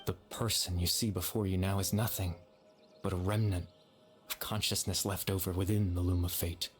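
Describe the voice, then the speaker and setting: An actor playing the gentle, regretful lingering consciousness of a dead young man in a video game, soft-spoken and measured. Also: deep voice, little pitch movement, faint music behind, natural voice. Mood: solemn, explaining.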